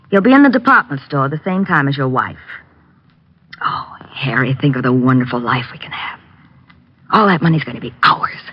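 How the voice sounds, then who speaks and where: A woman's voice: a bass voice, breathy edge, full character voice. A woman playing a scheming, impatient secretary and mistress, hard and coaxing, in an old-time radio drama. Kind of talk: 1940s radio drama acting. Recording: dull old radio recording.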